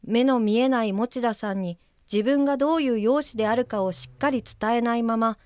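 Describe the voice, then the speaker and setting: Earnest young caregiver, polite and careful, voiced by a woman slightly high, radio drama. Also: brisk, darkish tone, smooth, dry recording, close to an everyday voice. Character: earnest young female guide-helper, polite and conscientious. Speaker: caregiver reading a letter aloud. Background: music under the voice.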